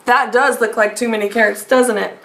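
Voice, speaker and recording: A woman's voice: a little high, steady tone, creaky, fairly bright tone, slight background noise. A woman in her thirties reading a picture book aloud expressively, speaking as a teacher to young children. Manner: slow, deliberate, addressing young children. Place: small home room, indoors.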